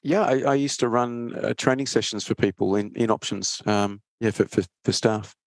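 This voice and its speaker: A man with an Australian accent, talking casually as an interview guest, often restarting his phrases haltingly. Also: lowish voice, no echo. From England, then Australia from age thirteen. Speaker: interview guest, trading educator.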